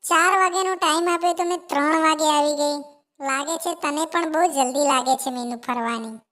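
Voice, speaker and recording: Someone voicing a very high-pitched doting mother sparrow. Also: steady tone, fairly quick, sharp tone. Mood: excited. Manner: coaxing, repetitive, keeps addressing the child fondly. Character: doting, worried mother sparrow in a children's moral tale. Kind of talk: animated children's story dialogue.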